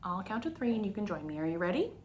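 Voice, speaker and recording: A woman's voice: mid-range voice, very animated, rapid-fire, slight background noise. A woman hosting a story time for young children, explaining things in simple, instructional phrases.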